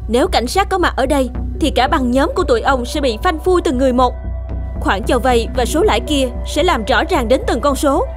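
A young cartoon mother, protective and emphatic. Her voice is on the high side and earnest. Music is clearly heard behind it. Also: lively intonation, a little fast. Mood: defiant. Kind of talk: cartoon voice acting.

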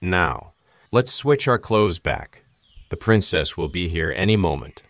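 A boastful strong young hero. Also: male voice, sits low, expressive, a little slow, creaky, a bit bright, no echo, exaggerated voice. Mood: confident.

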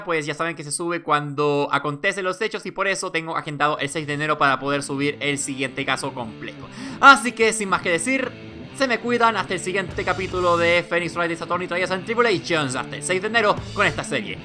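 A man's voice: fairly high pitch, fairly quick, sharp tone, soft background music. A man hosting a let's play, talking casually to his viewers. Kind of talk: casual video sign-off.

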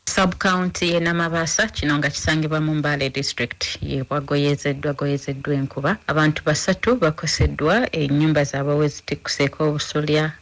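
A man's voice: fairly high pitch, fairly even intonation, a little fast, a bit bright, no room sound. A man speaking as a relief agency official, giving a matter-of-fact report. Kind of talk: field report.

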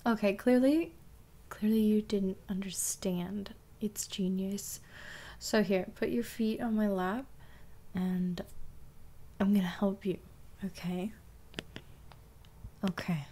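A woman's voice: mid-range voice, steady tone, slow, long pauses, mellow. A young woman playing a bossy, teasing step-sister in an intimate ASMR roleplay, often dropping toward a whisper. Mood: teasing, relaxed.

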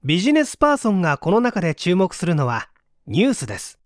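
An audiobook narrator reading a self-help book aloud in a scripted, explanatory way with clear articulation. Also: medium pitch, wildly swooping pitch, fast, no room sound, clean studio sound.

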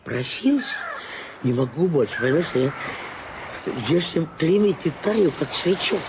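An actor playing a timid canteen worker in a radio play, anxious and lamenting. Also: male voice, fairly high pitch, expressive, a little slow. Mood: plaintive. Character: timid, plaintive canteen man posing as tamer. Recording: noisy radio play, ambience behind.

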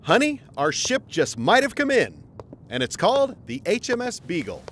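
A man's voice: high voice, moderate pace, breathy edge, full character voice. A middle-aged man acting an upbeat father who earnestly explains things in a family audio drama. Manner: explanatory, lively.

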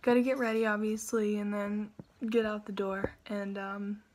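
A woman's voice: mid-range voice, level tone, moderate pace, a little mellow, slight background noise. A woman giving a light, giggly voice-over for her vlog. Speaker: horse vlogger. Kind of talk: casual voice-over narration. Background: music under the voice.

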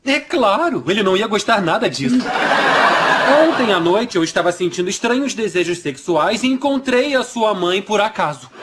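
A man dubbing a pedantic, socially awkward young physicist in a Brazilian Portuguese TV dub, with a crisp, matter-of-fact delivery. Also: high-pitched, animated, normal speed, very noisy. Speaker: voice actor dubbing a sitcom character.

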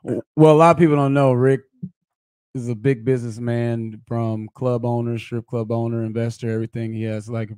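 A man hosting a podcast with hyped, slang-heavy energy. His voice sits at a middle pitch and sounds close and dry, with no room around it. He calls out greetings loudly and keeps the chat rolling. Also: flat delivery, a little fast, smooth, no echo. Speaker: podcast host.

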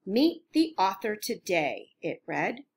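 A woman reading a children's picture book aloud as a storyteller, in a careful, expressive way. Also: mid-range voice, slow, breathy edge. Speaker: storybook reader.

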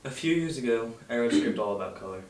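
A man's voice: mid-range voice, lively intonation, fast talker. A young man reading his part at a table read and chatting casually. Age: young adult. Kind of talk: script read-aloud and casual chat. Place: home dining room. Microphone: far camera. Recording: distant mic, echoey noisy room.

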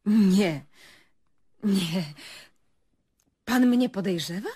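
A woman's voice: mid-range voice, animated, drawn-out words, mellow, breathy edge, exaggerated voice. An actress playing an evasive woman journalist in a radio drama, cornered and indignant in her denials. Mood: rattled, indignant. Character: defensive, evasive female journalist cornered as murder suspect. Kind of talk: Polish radio drama acting.

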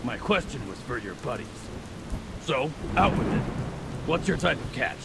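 An actor playing a gruff, blustery pirate guard in a video game, with a gravelly voice. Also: male voice, very animated, moderate pace. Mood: suspicious, demanding. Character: gruff, suspicious male pirate guard, hearty when won over. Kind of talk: video game character acting. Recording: loud rain and thunder rumble behind.

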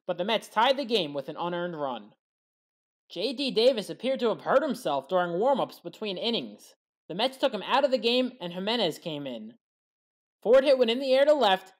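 Sports recap narrator: woman with a fairly high voice, reading scripted play-by-play. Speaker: sports highlight commentator. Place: voice-over recorded apart from the game.

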